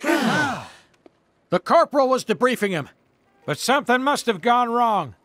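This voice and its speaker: A toy army sergeant from a video game. The voice is pushed high and forceful, very dry and close, with clipped, barking, dutiful delivery over faint music. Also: male voice, very animated, unhurried, no echo, full character voice. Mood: grave reporting. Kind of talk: military report in video game acting.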